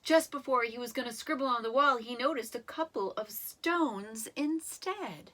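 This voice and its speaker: A woman reading a children's story aloud in an expressive, animated way, with dramatic emphasis and drawn-out words. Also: fairly high pitch. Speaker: storybook reader.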